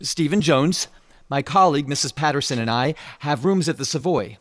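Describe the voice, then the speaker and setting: An actor as an animated, higher-voiced American showman. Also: moderate pace, dry recording, lightly acted. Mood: eager, businesslike. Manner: breezy, genial, salesman-like. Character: eager, smooth-talking American showman and businessman. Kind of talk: radio drama acting.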